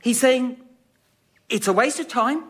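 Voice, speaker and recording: Very high-pitched, portentous fake psychic. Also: male voice, unhurried, a bit bright. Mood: slyly soothing. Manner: portentous, theatrical, hesitant. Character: fraudulent, theatrical stage psychic medium, smoothly devious. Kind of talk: radio sketch comedy acting.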